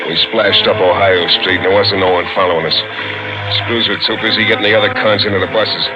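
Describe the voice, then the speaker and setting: A man's voice: lowish voice, steady tone, fast, over loud music, natural voice. An actor playing a hard-boiled, bossy escaped convict in a 1950s radio crime drama, talking in clipped tough-guy slang. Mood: tough narrating. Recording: muffled old radio recording, heavy noise.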